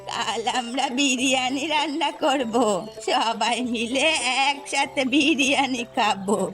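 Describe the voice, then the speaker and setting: A woman's voice: high-pitched, unhurried, fairly bright tone, soft background music. A woman voicing a kindly step-grandmother of a village family in a cartoon. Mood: encouraging. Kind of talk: cartoon voice acting.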